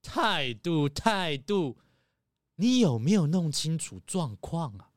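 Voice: mid-range voice, big pitch swings, slow, no echo, clean studio sound. A voice actor playing an irritable, self-centred young fox guide who argues and complains in a children's audio drama. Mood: indignant complaining. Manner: indignant, argumentative, complaining.

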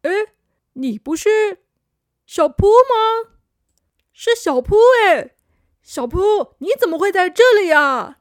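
A woman's voice: high voice, big pitch swings, takes it slowly, no echo, studio quality, heavily acted. A woman voicing an older boy in a children's story, surprised and puzzled. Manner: surprised, frowning.

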